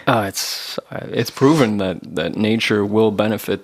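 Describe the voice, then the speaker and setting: A man's voice: lowish voice, normal speed. A man hosting a podcast, talking casually and hesitantly, often restarting his phrases. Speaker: podcast host. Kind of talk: casual interview conversation.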